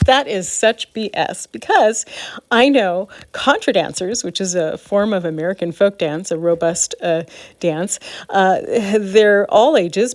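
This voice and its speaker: An adult woman giving an informal, opinionated monologue in an earnest, halting manner. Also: medium pitch, a little mellow, no echo. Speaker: speaker presenting own ideas. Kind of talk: informal monologue, advocacy talk.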